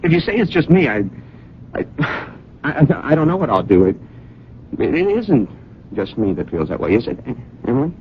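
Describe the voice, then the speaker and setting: An actor playing an earnest, lovesick suitor in a radio drama, halting and emotional as he presses with anxious questions. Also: medium pitch, big pitch swings, slight background noise. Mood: anxious pleading. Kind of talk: old radio drama acting. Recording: dull old radio broadcast.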